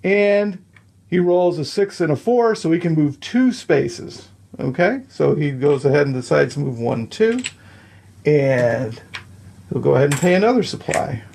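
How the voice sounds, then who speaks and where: A man with a slightly high voice walks through the rules of a board game step by step. He is patient and methodical, counting moves out loud and pausing to check that the listener follows. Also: expressive, creaky, background noise. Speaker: explaining game rules at the table.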